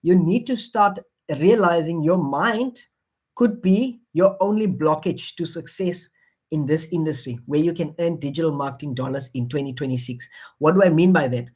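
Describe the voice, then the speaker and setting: A man whose first language is Afrikaans, speaking English with an Afrikaans accent, giving a coaxing motivational sales pitch on a live phone stream, repeating himself often with many fillers. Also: normal speed, darkish tone, slightly rough. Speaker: online marketing presenter.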